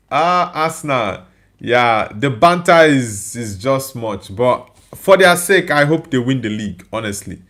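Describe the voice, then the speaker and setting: A man's voice: mid-range voice, expressive, slow pace, fairly bright tone. Tired Nigerian man hosting a live stream. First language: Igbo. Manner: reads viewer comments aloud and answers them. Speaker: livestream host. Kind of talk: casual live-stream chat.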